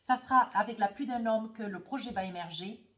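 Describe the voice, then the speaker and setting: A woman's voice: mid-range voice, brisk, fairly bright tone. A woman with a cold reads tarot cards for her viewers in speech. She rambles and hesitates, tagging her sentences with little asides. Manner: rambling, hesitant, full of "hein" and "voyez" tags. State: has a cold, runny nose. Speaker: tarot card reader. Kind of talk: spoken tarot reading to viewers.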